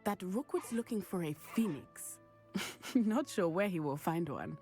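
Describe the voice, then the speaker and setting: A woman's voice: lowish voice, animated, measured pace, darkish tone, faint music behind. Confident, playful young witch. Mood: brisk, confident. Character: bold, self-assured teenage witch schoolgirl. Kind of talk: video game acting.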